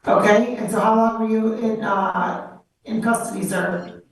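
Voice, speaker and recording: A woman presiding as a judge in court, speaking in a firm, measured way and stopping people to question them. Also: mid-range voice, fairly even intonation. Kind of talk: court proceedings over video. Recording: echoey and distant with faint noise.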